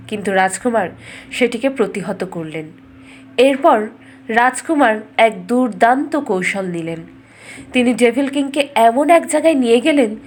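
A woman storyteller giving a scripted, dramatic fairytale narration in measured, expressive phrases. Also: a little high, a little hiss or hum.

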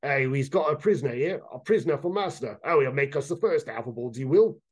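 A man voicing an aggressive, bossy male kobold guard who talks in a gruff, scolding way. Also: mid-range voice, animated, a little fast.

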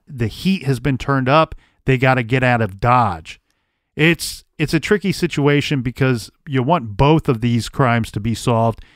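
A man's voice: medium pitch, no room sound, studio quality. A man hosting a true crime podcast, reading old case records aloud and then thinking through theories in casual talk. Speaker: podcast host.

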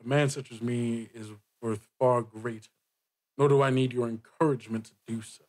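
A man's voice: mid-range voice, steady tone, a little mellow, lightly acted. A man voicing a proud, guarded church dignitary, speaking formally and coldly in a tabletop roleplay. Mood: guarded, demanding. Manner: formal, haughty, deliberate.

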